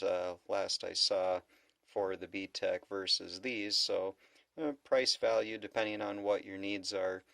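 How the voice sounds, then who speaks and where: A man giving an unscripted product review in a measured, explanatory way with trailing pauses. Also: low voice, vocal fry, fairly bright tone, dry recording.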